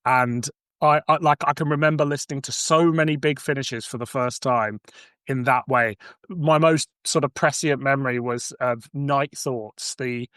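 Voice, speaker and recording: A man's voice: mid-range voice, fairly even intonation, normal speed, a bit bright, smooth, no echo, studio quality. A man chatting casually on a podcast, musing informally as he talks. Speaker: podcast speaker. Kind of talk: casual chat.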